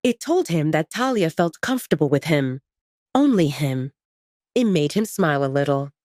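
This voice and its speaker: A woman's voice: deep voice, sing-song, moderate pace, a little mellow, no echo, studio quality. A woman narrating a scripted romance story in a dramatic audiobook style.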